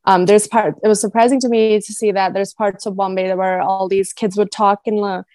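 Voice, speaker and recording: Young American woman, podcast guest, chatty storyteller who acts out quotes, mid-pitched, dry close sound. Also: flat delivery, a little fast, darkish tone, dry recording. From United States. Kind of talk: casual conversation.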